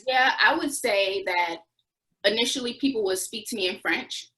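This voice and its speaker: A woman's voice: mid-range voice, normal speed, sharp tone, rough recording. A young adult woman answering interview questions, recounting experiences as a former volunteer with restarts and occasional laughs.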